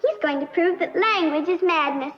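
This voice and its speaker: A woman's voice: extremely high pitch, moderate pace, faint music behind. A child actress playing a curious, doubtful schoolgirl, earnest and wondering, in a 1950s educational film. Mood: excited. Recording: dull vintage film soundtrack.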